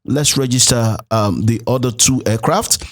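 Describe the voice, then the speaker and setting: A man's voice: medium pitch, moderate pace. A man narrating a programming tutorial as an instructor, explaining things step by step. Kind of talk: tutorial narration with code walkthrough.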